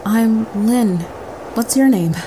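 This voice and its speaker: A woman's voice: fairly high pitch, slow, mellow, slight background noise. A woman playing a friendly, forward young woman in an audio drama, speaking in a direct manner. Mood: friendly, grateful.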